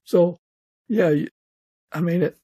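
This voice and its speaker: An older man hosting a casual interview, speaking in a halting, reflective way. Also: sits high, warm tone, breathy edge. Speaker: podcast interviewer.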